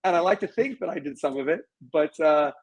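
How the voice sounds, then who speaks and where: A man's voice: fairly high pitch, animated, a little fast, husky, muffled recording. A middle-aged American man speaking as a guest in a casual interview, in an emphatic, opinionated way.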